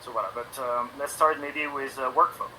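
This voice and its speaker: A man in his forties with a French accent speaks in a loose, halting English, with ums and restarts all through. His voice sits in an ordinary middle range. A steady hiss runs under the stream audio. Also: medium pitch. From France. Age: 40s. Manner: hesitant, many ums and restarts. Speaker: animation guest on a stream. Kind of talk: casual interview talk.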